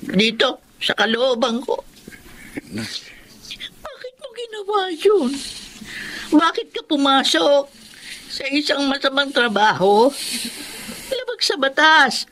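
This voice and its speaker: A woman's voice: high voice, animated, slow, pauses often, a bit bright, slight background noise, full character voice. A woman playing a worried, scolding elderly mother in a Filipino radio drama, with a Filipino accent. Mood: hurt, reproachful. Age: older. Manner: fretful, reproachful, pleading.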